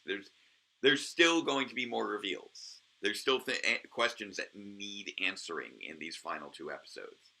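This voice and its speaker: Male host, deep gravelly voice, tense sharp edge, halting delivery full of ums. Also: poor audio. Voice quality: naturally low voice. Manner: hesitant, full of ums and restarts. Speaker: host of a review series. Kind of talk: casual solo commentary.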